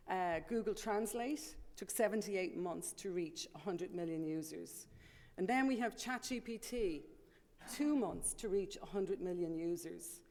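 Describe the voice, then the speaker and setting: A woman's voice: medium pitch, a little mellow. A middle-aged woman with a Dublin Irish accent gives a chatty, self-deprecating conference talk from a podium microphone, with many ums. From Ireland. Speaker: conference presenter. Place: large conference room. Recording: long hall reverb, faint room noise.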